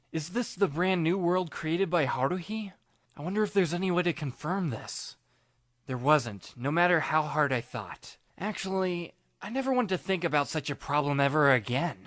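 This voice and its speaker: A man narrates a first-person story in a fairly high voice with very animated swings. His delivery is wry, dramatic and self-deprecating. Music plays clearly behind him. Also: no room sound, clean studio sound. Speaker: audiobook narrator playing the first-person lead. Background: background music.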